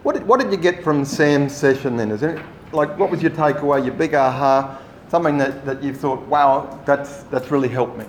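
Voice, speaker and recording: A man's voice: fairly high pitch, moderate pace, few pauses. Man leading a workshop, echoey room. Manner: relaxed, rambling instructions with self-corrections. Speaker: workshop trainer. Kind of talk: addressing a training group. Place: meeting room. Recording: echoey room, faint noise.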